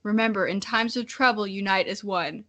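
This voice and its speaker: A woman's voice: mid-range voice, normal speed, a bit bright, natural voice. A woman voicing solemn, cryptic spirit animal guides from a storybook, in an instructive manner.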